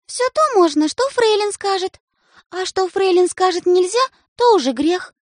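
High piping little girl, a woman doing it, bossy, preachy, storybook sister, lively voice, dry sound. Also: moderate pace, no echo. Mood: stern. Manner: wheedling, then stern and preachy.

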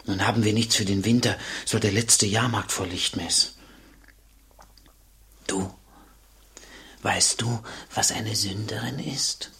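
A ragged young robber's son in a radio play, poor and streetwise. The voice is fairly low and breathy-husky, and he confides in plain, halting phrases. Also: fairly even intonation, sharp tone, exaggerated voice. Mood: resentful, confiding. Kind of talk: radio drama dialogue.

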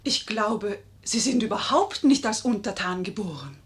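An actress in a 1950s German radio play playing a charming, wily lady who pleads and flatters with theatrical emotion. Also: mid-range voice, lightly acted. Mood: impassioned. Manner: theatrical, emotive, dramatically drawn-out emphasis.

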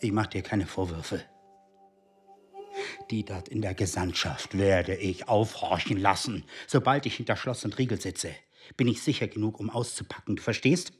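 A man's voice: lowish voice, moderate pace, husky, soft background music. An actor playing an agitated middle-aged secret agent in a German radio drama, blustering and pleading with his wife. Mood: scheming, urgent. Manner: blustering, pleading, swinging between coaxing and outrage. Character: agitated, self-pitying husband, a shady secret agent.

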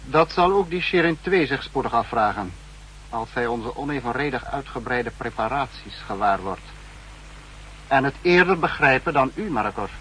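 An actor playing a calculating spy chief, speaking with measured, superior authority in a 1960s radio drama. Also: male voice, mid-range voice, lively intonation, fairly bright tone, lightly acted. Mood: pondering, grave. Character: calculating, authoritative male spymaster, head of secret service. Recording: faintly noisy 1960s radio recording.

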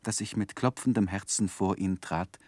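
Deep-voiced, reflective gentleman. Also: no echo. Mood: absorbed, nostalgic. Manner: measured, reflective. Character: David Copperfield, earnest, sensitive man recalling his youth. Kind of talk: 1960s German radio drama, literary first-person narration.

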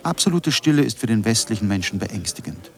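A man playing a reflective narrator in a scripted radio drama, speaking in measured phrases. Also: lowish voice, big pitch swings. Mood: sober, reflective. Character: reflective male narrator reciting essays and studio notes.

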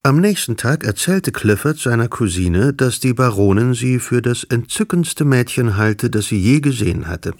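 A man narrating a literary radio drama in a wry, measured manner. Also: mid-range voice, expressive, gravelly, a little mellow, dry recording, studio quality. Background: music.

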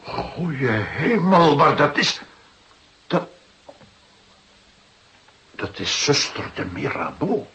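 A man playing a self-important, fussy but humane coroner in a radio drama, with a pompous and halting delivery. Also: medium pitch, sing-song, a bit bright, full character voice. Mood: shocked, grieving. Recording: muffled radio recording with clear noise.

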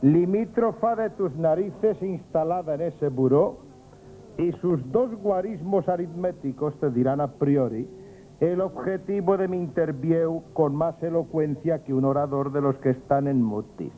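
An actor from a radio comedy playing a jovial, pompous friend of a shopkeeper, with flowery speech and a Spanish accent. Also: male voice, fairly high pitch, measured pace, mellow, natural voice. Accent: Spain. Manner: pompous, flowery, grandiloquent wordplay, chuckling. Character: jovial old shop friend Liborio, pompous flowery talker. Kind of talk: comic radio theatre acting. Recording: noisy 1970s radio drama tape.

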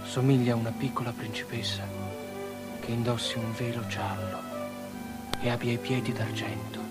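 An actor playing a lovestruck young officer entranced by a princess, in poetic, rapt, incantatory radio drama style. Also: male voice, mid-range voice, lightly acted. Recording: radio drama over loud music.

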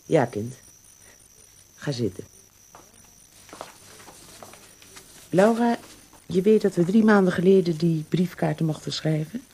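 A low, dark, husky voice as a kindly older woman who leads a wartime camp. She speaks in a gentle, measured, grave way, acted naturally for radio drama. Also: expressive.

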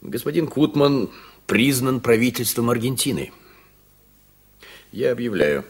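A man acting a vice-prefect in a radio drama, a measured official who speaks formally and with authority. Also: medium pitch.

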